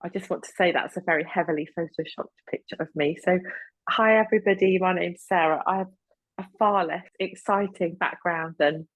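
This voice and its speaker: A woman's voice: on the low side, fairly quick, no echo, rough recording. A middle-aged woman, a netball coach and umpire, introducing herself modestly on a video call.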